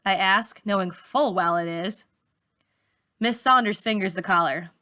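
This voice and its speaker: A woman reading a novel aloud as a teacher would, in an expressive storybook style for young students. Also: mid-range voice, measured pace. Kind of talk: expressive storybook narration for middle schoolers.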